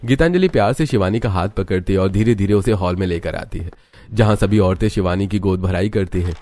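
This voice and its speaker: A man's voice: deep voice, a little fast, mellow. A man narrating a scripted story in the style of a storybook.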